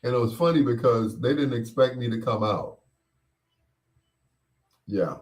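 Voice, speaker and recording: A middle-aged man hosting a casual show, chatting and reminiscing in a rambling way, often pausing and repeating himself as he replies to listeners. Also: mid-range voice. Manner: unhurried, rambling, with pauses and repeats. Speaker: host of a bedtime stories show. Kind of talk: casual chat, reminiscing and replying to listener comments.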